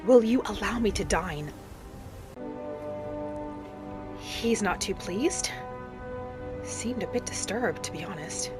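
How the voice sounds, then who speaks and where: A woman playing an earnest, devout wood elf wanderer, narrating a fantasy game roleplay in measured, formal phrasing. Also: mid-range voice, animated, natural voice. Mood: hungry, reverent. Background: music.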